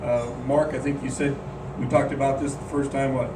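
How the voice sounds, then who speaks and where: A man leading a club meeting, speaking haltingly with frequent "uh"s. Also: medium pitch, little pitch movement. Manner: halting, lots of "uh" fillers. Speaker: club board member chairing meeting. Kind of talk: meeting announcement. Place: meeting room at a golf club. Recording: roomy, distant mic, background hum.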